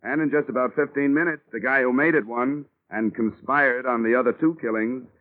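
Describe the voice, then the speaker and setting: An actor playing a hardboiled, wisecracking insurance investigator, narrating in clipped, wry old-time radio detective style. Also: male voice, mid-range voice, a little fast, close to an everyday voice. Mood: confident, brisk. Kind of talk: 1950s radio detective acting, first-person narration. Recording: old phone-like radio recording with music behind.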